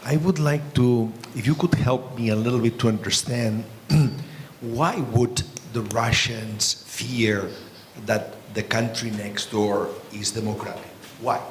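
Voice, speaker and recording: A man's voice: mid-range voice, steady tone, slow, roomy sound, slight background noise. A man who teaches at a university politely asks a panel a question, repeating words as he thinks aloud. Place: conference hall.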